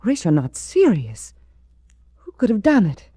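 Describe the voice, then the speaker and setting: A woman's voice: mid-range voice, wildly swooping pitch, a little slow, mellow, slightly rough, exaggerated voice. An actress playing an alluring wealthy woman who is easily rattled, in a melodramatic 1940s radio style. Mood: alarmed. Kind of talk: 1940s radio detective drama acting.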